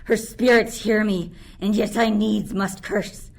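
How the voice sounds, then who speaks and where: A woman's voice: mid-range voice, fairly even intonation, a little slow, vocal fry, a little hiss or hum. A woman playing a resentful, fearful monster servant, declaiming Shakespearean verse in a radio play. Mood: bitter cursing. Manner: declamatory archaic verse delivery.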